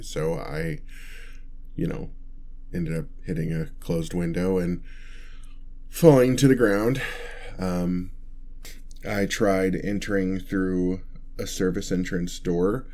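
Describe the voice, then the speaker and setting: Man playing a deep-voiced, stammering, meek vampire. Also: a little mellow. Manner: hesitant, stammering, apologetic and rambling. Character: meek, nerdy, awkward newly turned male vampire. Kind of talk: ASMR roleplay monologue.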